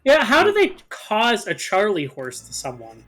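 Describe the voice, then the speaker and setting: A man's voice: sits high, wildly swooping pitch, a little slow, music in the background. A man chatting casually with friends as co-host of a gaming video, describing things with colorful exaggeration. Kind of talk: casual chat among friends over a game video.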